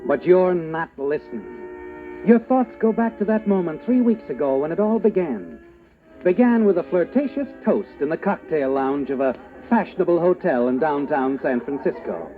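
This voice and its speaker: A man narrating a 1940s radio mystery in second person, smooth, ominous and knowing. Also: fairly high pitch, animated, moderate pace. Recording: tinny old radio broadcast with music behind.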